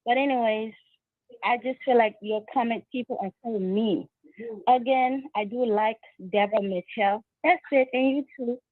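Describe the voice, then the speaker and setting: Woman, nervous caller, high voice, rushed, dry phone sound. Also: dry recording. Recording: tinny phone line.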